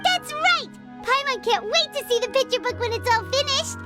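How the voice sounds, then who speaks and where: A woman voicing a tiny, excitable, cheerful childlike fairy companion in a video game, squeaky and bubbly, referring to herself by name. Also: normal speed, heavily acted. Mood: thrilled. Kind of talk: video game character acting. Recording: music bed under the voice.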